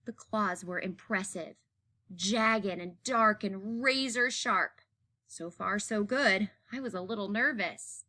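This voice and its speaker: A woman reading a children's picture book aloud in an expressive narrating style. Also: medium pitch, slow. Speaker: story reader.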